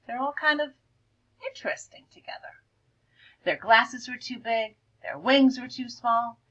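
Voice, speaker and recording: A woman teacher reading a picture book aloud to children, expressively, stretching words and dropping into hushed explanatory asides. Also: on the high side, expressive, unhurried, muffled recording. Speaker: elementary school teacher.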